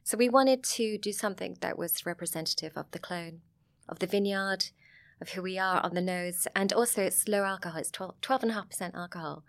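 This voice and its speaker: A middle-aged English woman from Sussex talks in a frank, chatty way, her accent plainly southern English. Her pitch sits at an ordinary middle level, and she laughs easily between her thoughts. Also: a little fast, creaky. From England. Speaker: guest. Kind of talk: casual interview chat.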